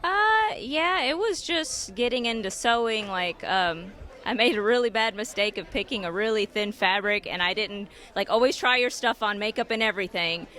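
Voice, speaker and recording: A woman's voice: medium pitch, expressive, normal speed, pushed and bright. A woman cosplayer answering interview questions at a fan convention, easygoing and a little hesitant, with lots of fillers. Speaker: cosplayer guest.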